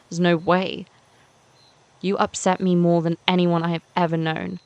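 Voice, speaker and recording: A woman's voice: on the low side, lightly acted. A young woman playing a hurt, unforgiving teenage schoolgirl in an amateur voiced game series, speaking in an emphatic conversational way.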